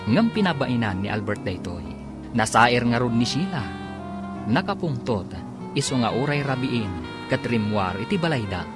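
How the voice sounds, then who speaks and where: Male announcer, animated dramatic narration, medium pitch, music behind.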